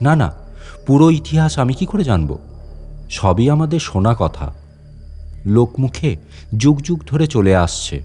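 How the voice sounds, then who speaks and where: Male storyteller as talkative, guarded fort guide for a dramatic audio story; medium pitch, very lively melody, conversational delivery to unseen tourists. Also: normal speed, pauses often, faint music behind. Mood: showman-like. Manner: conversational, addressing unseen listeners with questions. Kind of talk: dramatic first-person monologue narration.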